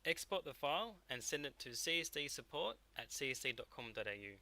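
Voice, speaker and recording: Bright, crisp-edged man giving instructions. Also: medium pitch, very animated, a little fast. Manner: instructional, step-by-step. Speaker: technical instructor. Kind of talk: scripted software tutorial narration.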